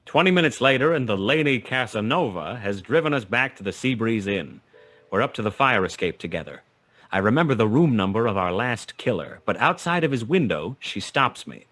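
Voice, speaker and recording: A man's voice: mid-range voice, expressive, fairly quick, a bit bright. An actor playing a wry, terse private detective who narrates his own case in a noir radio play. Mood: wry narration. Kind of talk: 1940s noir radio play acting, first-person narration.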